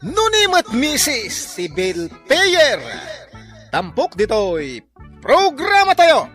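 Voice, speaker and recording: Showy sing-song radio host. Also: male voice, very high voice, wildly swooping pitch, slow, bright, forceful tone, faint music behind. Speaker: program host. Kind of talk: radio announcer.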